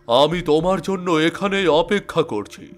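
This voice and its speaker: A man's voice: a little high, expressive, unhurried. A man voicing a loyal, helpful flying creature in a fairy-tale cartoon, eager and reassuring.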